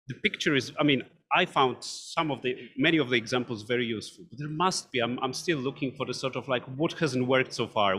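A young man moderates a panel on an indoor conference stage, searching for his words as he frames questions. His voice is bright and forceful with a raspy grain, heard through a stand mic. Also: mid-range voice. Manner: hesitant, searching phrasing. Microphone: stand microphone.

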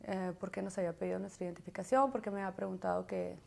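A woman's voice: medium pitch, little pitch movement, racing, creaky, a little mellow. A woman in her thirties giving a personal account in an interview in a living room. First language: Spanish.

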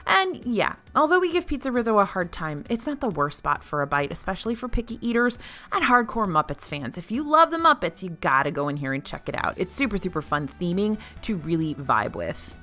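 A woman narrating a chatty food review, wry and opinionated. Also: brisk. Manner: animated, wry and opinionated, jumps between high and low pitch. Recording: faint music behind.

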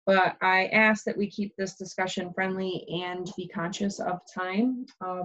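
A woman's voice: medium pitch, muffled recording. A woman moderating a webinar, politely reading out questions from the chat and introducing speakers. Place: remote video call.

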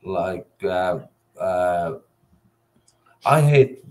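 Finnish guy in his 50s on a video call, halting english, really slow and kinda low. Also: animated, a little mellow. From Finland. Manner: halting, searching for English words. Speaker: livestream guest. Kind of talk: casual chat. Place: indoor room at home, video call.

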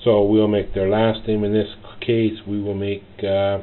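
Tinny, low-voiced man giving step-by-step instructions. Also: monotone. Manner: halting, short instructional phrases. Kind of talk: step-by-step tutorial narration. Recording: tinny phone-like audio, clear noise.

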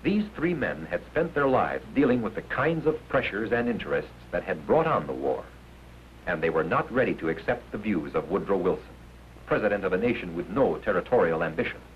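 Deep-voiced 1950s film narrator, male. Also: big pitch swings, fairly quick. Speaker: documentary narrator. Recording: dull, noisy 1950s film soundtrack.

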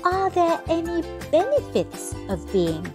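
A young woman reading for children in an expressive, measured way, as a storyteller. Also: extremely high pitch. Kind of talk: scripted children's read-aloud and questions. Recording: music behind. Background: children's music.

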